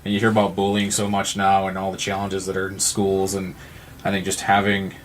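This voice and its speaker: Guy in his 30s talking earnestly on a podcast, pretty monotone, bit of noise behind him. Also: low voice, brisk, poor audio. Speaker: podcast guest from a support non-profit. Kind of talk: conversational interview talk.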